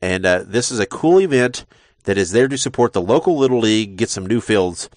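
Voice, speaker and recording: A man's voice: on the low side, animated, fairly quick, no room sound. A man hosting a wrestling podcast in a rambling casual way, congested from a sinus infection. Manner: rambling, with frequent uh fillers and repeated words. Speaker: podcast host.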